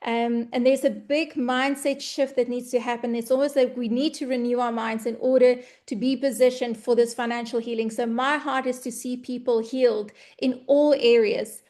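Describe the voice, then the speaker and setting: High-voiced Afrikaans woman presenting. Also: fairly even intonation, moderate pace, few pauses, a little mellow. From South Africa. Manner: earnest, heartfelt, with frequent ums and restarts. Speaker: presenter of an online business meeting. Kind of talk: webinar presentation.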